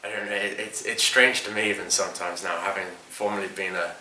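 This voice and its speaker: Flat-voiced, calm friar. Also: male voice, low-pitched, a little fast, a little hiss or hum. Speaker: Dominican friar. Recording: echoey room, distant mic.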